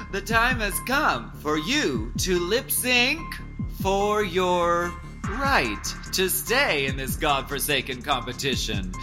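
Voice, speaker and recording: A woman playing a theatrical, cruelly grandiose drag competition host in a comic radio play, with drawn-out announcements. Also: on the low side. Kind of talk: parody reality-show hosting in radio play.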